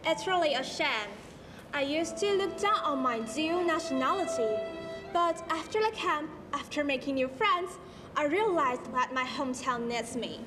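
Girl doing a curious teen son, very high lively voice, taiwanese accent, school play. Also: moderate pace. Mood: earnest. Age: teens. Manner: eager, questioning. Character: teenage boy, curious and eager son. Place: large school auditorium stage. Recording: echoey hall with music behind.

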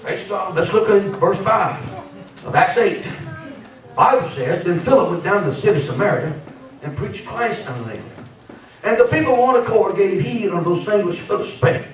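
A man's voice: sits high, animated, moderate pace, a little hiss or hum. A man in his fifties preaching a Pentecostal sermon in a fervent, emphatic way, punctuated with amens. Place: church sanctuary, at and around pulpit. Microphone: handheld microphone. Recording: very echoey church hall, from far off.

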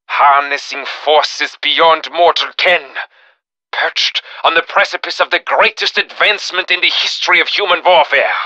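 A menacing German officer from a video game, speaks with a German accent in a hard, intensely forceful voice. He is fanatical, cruel and theatrical, issuing threats and orders with deliberate contempt. The sound is dry and close, with a slightly dull top end. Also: mid-range voice, no echo. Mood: commanding, rallying. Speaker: villain character in a video game. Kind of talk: video game villain voice acting, menacing monologue.

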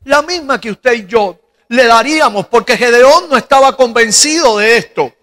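A middle-aged Cuban pastor preaching in a very high, bright voice at a slow, measured pace. He talks into a handheld mic and leans on rhetorical questions. The large church hall adds a long reverb behind him. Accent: Cuban Spanish. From Cuba. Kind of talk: sermon.

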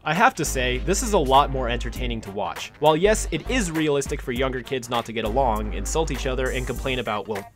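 A man's voice: mid-range voice, animated, fast talker, hardly any pauses. A man hosting and narrating a scripted video essay. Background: music.

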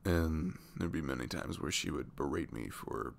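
A man playing a sweet, weary young single dad in an intimate ASMR roleplay, confiding in a halting, soft-spoken way with trailing fillers and a deep gravelly voice. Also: slight background noise, exaggerated voice. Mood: bitter, subdued. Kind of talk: intimate ASMR roleplay, hesitant conversational delivery.

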